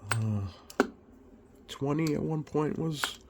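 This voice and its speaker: A man with a slightly scratchy voice, getting over a cold, talks informally through a repair demonstration in a hesitant, unhurried way, thinking aloud. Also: a little high. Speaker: radio repair technician and video host. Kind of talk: informal bench demonstration vlog. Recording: clearly audible background noise.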